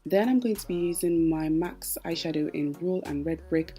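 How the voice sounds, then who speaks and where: Young woman, low mellow voice, chatty makeup voice-over, soft music behind. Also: normal speed. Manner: chatty, explains each step. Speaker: makeup artist.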